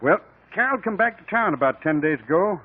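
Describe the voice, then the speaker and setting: Folksy country sheriff on old radio. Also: male voice, sits high, animated, fairly quick, narrow, tinny audio. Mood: grave, matter-of-fact. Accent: American. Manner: rustic, ungrammatical, drawling country talk. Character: folksy, plainspoken small-town male sheriff. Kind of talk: 1950s radio drama acting. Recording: old band-limited radio recording.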